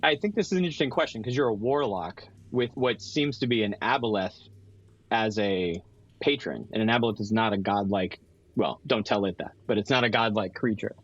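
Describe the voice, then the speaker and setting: A man's voice: fairly low pitch, brisk, a bit bright, no room sound. A man playing a tabletop game, casually improvising a story as he talks it through. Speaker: guest player in tabletop game. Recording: slightly dull lo-fi audio.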